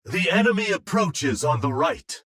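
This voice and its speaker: Give the stage to a solemn monk warrior of a video game. He is mystical and formal, taking his time over every word. The recording is very dry and close, and the voice is slightly husky. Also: on the high side, expressive, fairly bright tone, dry recording, full character voice. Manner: solemn, formal, measured delivery. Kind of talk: video game character voice lines.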